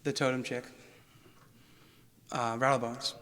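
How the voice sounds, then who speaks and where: A man's voice: medium pitch, level tone, measured pace, crowd noise. A man casually teaching a tabletop miniatures game in an informal, enthusiastic, slangy way. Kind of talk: casual explanation during gameplay.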